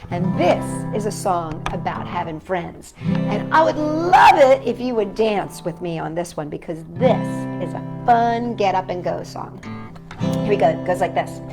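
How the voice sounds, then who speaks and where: A woman hosting a children's storytime, reading a picture book aloud and chatting gently with young viewers, asking them questions. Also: mid-range voice, very animated, unhurried, over music.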